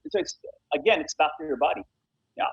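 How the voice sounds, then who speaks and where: Fast-talking man, slightly husky, roomy sound. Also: fairly high pitch, lo-fi sound. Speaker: interview guest, sports association founder and honorary consul. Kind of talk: conversational radio interview.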